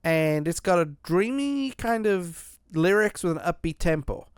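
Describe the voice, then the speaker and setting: A man's voice: high-pitched, lively intonation, a little slow, clean tone, no echo, clean studio sound. A man hosting a podcast, chatting casually about music in a rambling way with lots of restarts. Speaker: podcast host.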